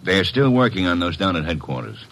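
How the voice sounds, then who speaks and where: A gruff police inspector in a classic radio crime drama. The voice is low and husky with big swings in pitch, and he talks in blunt, everyday cop phrases. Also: very animated, a little fast, a bit bright, full character voice. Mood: matter-of-fact. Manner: blunt, colloquial, matter-of-fact. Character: gruff, plain-spoken male police inspector. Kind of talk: old-time radio crime drama acting.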